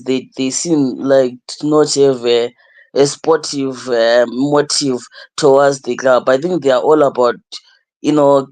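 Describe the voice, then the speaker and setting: A man's voice: medium pitch, a little mellow, poor audio. A man from Zimbabwe, calling in to give a frank, critical opinion as a fan. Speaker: caller fan. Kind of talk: call-in opinion.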